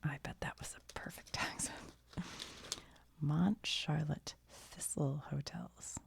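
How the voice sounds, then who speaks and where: An American woman whispering in a casual ASMR show-and-tell style, musing aloud to the viewer as she reads things out in a breathy, gravelly voice. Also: deep voice, fairly even intonation, slow.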